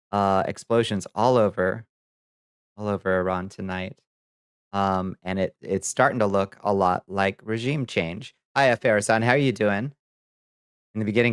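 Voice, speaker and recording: A man hosting a livestream, chatting casually with viewers and commenting on news in a halting, profane, muttering way. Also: lowish voice, clear, resonant tone, no room sound, studio quality. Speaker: livestream host reading viewer chat.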